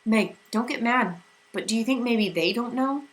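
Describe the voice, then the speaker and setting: A woman voicing a gentle, steady teenage boy who speaks softly and kindly. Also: a little high, moderate pace, voice pushed up, lightly acted. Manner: gentle, soft-spoken.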